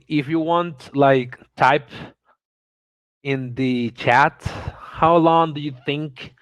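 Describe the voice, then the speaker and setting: A man in his thirties with a Venezuelan Spanish accent presents on a video call in an eager, stumbling way. He repeats words, restarts and fills gaps with many uhs. His voice sits somewhat high, and the recording sounds dry and close. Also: a bit bright, no echo, dull audio. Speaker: conference speaker. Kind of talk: online presentation.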